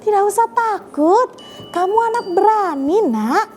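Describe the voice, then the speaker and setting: Woman as gentle high-voiced mother rabbit. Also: animated, a little slow, slight background noise. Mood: reassuring. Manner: soothing, encouraging. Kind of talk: children's storytelling.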